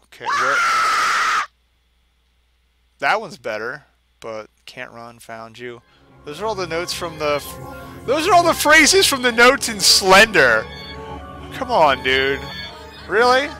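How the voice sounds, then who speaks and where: Sarcastic man with a higher-pitched voice over music. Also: sing-song, takes it slowly, pauses often, sharp tone, shouting. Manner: sarcastic, irreverent. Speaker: video game commentator. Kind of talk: casual mocking playthrough commentary.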